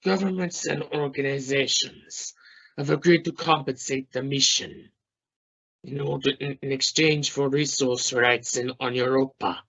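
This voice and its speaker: A man's voice: medium pitch, steady tone, fairly bright tone. Dull-sounding, brusque scientist, acted by a man. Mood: formal, courteous. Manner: formal, measured, slightly halting phrasing. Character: brusque male Russian space scientist and mission captain. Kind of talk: scripted radio play interview. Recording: dull lo-fi recording.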